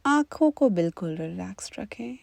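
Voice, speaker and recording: A woman leading a guided meditation as an instructor, in a calm, gentle, unhurried manner. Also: medium pitch, gravelly, dry recording.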